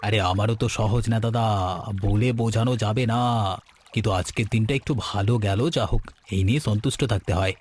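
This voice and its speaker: A man's voice: fairly low pitch, steady tone, fairly quick, darkish tone, a little hiss or hum, natural voice. An actor playing a practical, encouraging younger village fisherman in conversational cartoon dialogue.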